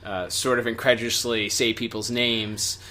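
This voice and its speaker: A man's voice: medium pitch, level tone, normal speed, pushed and bright. A man co-hosting a review podcast in a casual, conversational way with joking asides. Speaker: podcast co-host reviewing animated series.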